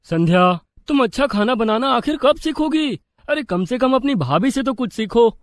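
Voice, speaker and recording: An actor playing an exasperated, henpecked young husband in a cartoon, flustered and pleading. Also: male voice, very high voice, expressive, moderate pace.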